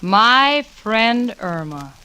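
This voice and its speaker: A woman's voice: a little high, big pitch swings, drawn-out words, full character voice, poor audio. An actress playing a level-headed, sardonic young woman, wry and exasperated, in a 1940s radio comedy. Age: young adult. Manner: wry, sarcastic, exasperated wisecracking. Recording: vintage 1940s radio recording.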